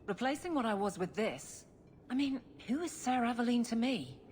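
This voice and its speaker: A woman's voice: a little high, sing-song, moderate pace, darkish tone. A woman playing a stern, practical warrior in a video game, guarded, blunt and earnest. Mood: frustrated, earnest. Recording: faint background ambience noise.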